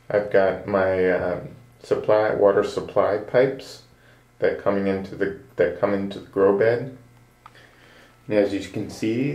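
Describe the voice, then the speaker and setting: Man, DIY host, unhurried step-by-step explainer; distant, roomy home-video sound. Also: lowish voice, a little mellow. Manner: unhurried, explains step by step while working with his hands. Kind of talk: casual how-to demonstration. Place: apartment room and tiled bathroom, indoors.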